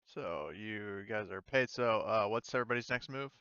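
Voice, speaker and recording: Low-voiced man game master, muffled sound. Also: moderate pace, sharp tone, smooth, no room sound. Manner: joking, informal. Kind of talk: casual tabletop roleplay narration.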